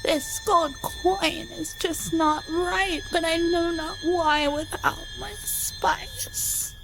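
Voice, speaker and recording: A woman's voice: very high voice, fairly even intonation, takes it slowly, gravelly, music in the background, full character voice, low-quality recording. A woman playing an otherworldly, grandiose seer who craves spice, with an incantatory delivery, in a radio play. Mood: brooding.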